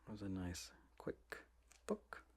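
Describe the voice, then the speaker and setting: A soft-spoken man reading children's books aloud in a gentle, playful ASMR style, often dropping close to a whisper. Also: low-pitched, sing-song, slight background noise. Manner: gentle, drawn-out, playful storybook delivery.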